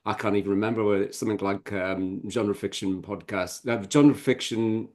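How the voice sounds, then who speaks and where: Low-voiced man, casual and rambling. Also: fairly even intonation, moderate pace, darkish tone. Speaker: podcast guest, author.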